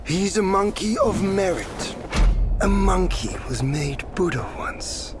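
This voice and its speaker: A man's voice: high voice, expressive, takes it slowly, music in the background. An actor playing a smug, taunting male celestial warrior god in a game cutscene, drawing out his words. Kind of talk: video game cutscene acting. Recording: game cutscene with ambient noise.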